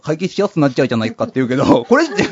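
Very fast-talking exasperated man. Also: mid-range voice, sing-song, slightly rough, dry recording. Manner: scolding, nagging, exasperated straight-man. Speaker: radio show co-host. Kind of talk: casual comedic talk show chat. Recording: dull lo-fi dry recording.

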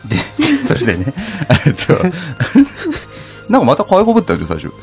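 A man's voice: fairly high pitch, wildly swooping pitch, normal speed, gravelly. A man hosting a podcast in casual comedic banter, joking and teasing in an informal way. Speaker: podcast host. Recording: music behind the voice. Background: background music.

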